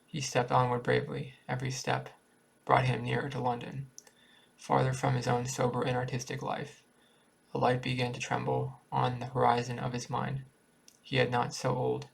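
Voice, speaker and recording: A man reading a literary short story aloud in a halting way, sometimes stumbling over words. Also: mid-range voice, fairly even intonation, gravelly, slightly rough, poor audio. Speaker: amateur story reader.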